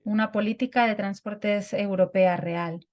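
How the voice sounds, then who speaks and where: A woman's voice: mid-range voice, fairly even intonation, measured pace, darkish tone. A woman giving a simultaneous interpretation of a speaker's talk over a video call, fluent but with frequent restarts and repeated words.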